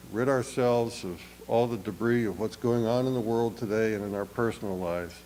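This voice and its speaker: Church elder, male, raspy head-cold voice, folksy, long reverb of a sanctuary, faint background hiss. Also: on the low side, a little mellow. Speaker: church elder leading worship. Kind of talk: welcome and call to worship.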